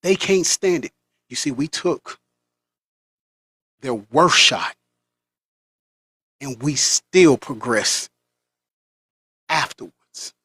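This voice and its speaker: A man's voice: medium pitch, lively intonation, very slow, pauses often, a bit bright, breathy edge, no echo. An American man delivering an impassioned, preachy monologue as a vlogger. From United States.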